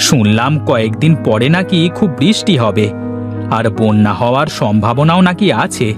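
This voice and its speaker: A man's voice: medium pitch, lively intonation, normal speed, music in the background, pitched below the performer's own voice, natural voice. A man voicing a worried, brooding village farmer in a cartoon story. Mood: anxious.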